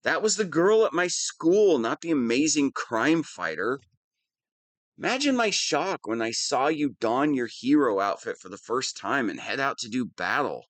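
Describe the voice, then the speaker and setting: A man's voice: medium pitch, sing-song, a little slow, a bit bright, no room sound. A man playing a whiny, lovestruck young wannabe villain in an audio roleplay, petulant and defensive. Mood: flustered, defensive. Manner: petulant, defensive, swinging between sheepish mumbling and indignant outbursts. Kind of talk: scripted audio roleplay monologue.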